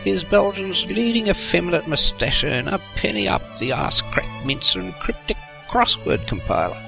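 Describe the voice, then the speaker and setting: A man's voice: high-pitched, big pitch swings, normal speed, creaky, music in the background, exaggerated voice, low-quality recording. A man playing a sour, blustering, sarcastic police inspector in an audio comedy. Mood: condescending. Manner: sardonic, blustering. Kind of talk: audio comedy drama acting.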